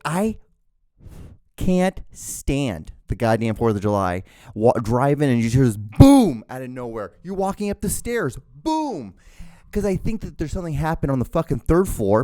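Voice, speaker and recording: Guy from honduras doing a solo podcast, swears a lot, trips over his words, mellow voice, dry sound. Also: on the high side, no room sound. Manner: profane, ranting, with frequent stammered restarts. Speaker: podcast host. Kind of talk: casual solo podcast monologue.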